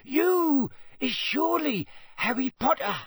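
Man acting as a tiny female elf servant, squeaky, quivering, high-pitched, swooping intonation, fretful, servile, storybook creature. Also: lively intonation, fairly bright tone, dry recording, clean studio sound, full character voice. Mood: timid, curious. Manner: servile, drawn-out, broken grammar, punctuated with deference.

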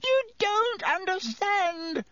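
Whiny squeaky traitor begging for his life, way high pitched, gaspy, audiobook villain. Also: sing-song, extremely slow, fairly bright tone, no room sound, soft background music, exaggerated voice. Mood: tearful whining. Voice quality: squeaky, thin. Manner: gasping, whining, pleading. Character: grovelling, terrified middle-aged man, cowardly wheedling traitor. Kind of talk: audiobook character voice.

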